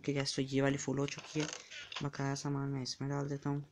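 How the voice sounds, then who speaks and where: A man's voice: medium pitch, completely flat delivery, brisk, noisy background. A man giving casual commentary while playing a block-building game, chatty and counting blocks aloud as he builds. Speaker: gamer.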